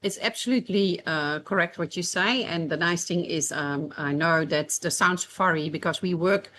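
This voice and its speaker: Dutch-accented woman, lowish voice, halting. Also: a bit bright, slight background noise. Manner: hesitant, many fillers and restarts. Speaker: safari camp co-owner. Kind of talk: podcast interview.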